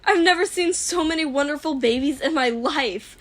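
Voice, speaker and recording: A woman voicing an excitable, cheeky young inventor girl in a fan fiction audiobook. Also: high-pitched, normal speed, pitched above the performer's own voice, natural voice. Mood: amazed, gushing. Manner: exclaiming, dismissive, cheeky.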